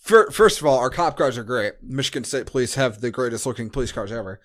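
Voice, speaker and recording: A man's voice: mid-range voice, fast talker, a bit bright. An American man co-hosting a podcast, talking casually about a film in a blunt, joking, sweary way. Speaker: podcast co-host. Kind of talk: casual film review chat.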